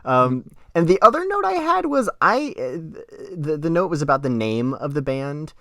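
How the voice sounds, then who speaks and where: A man's voice: on the high side, big pitch swings, normal speed, sharp tone, no echo, studio quality. A man hosting a casual review podcast, talking enthusiastically about what he likes. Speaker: podcast host.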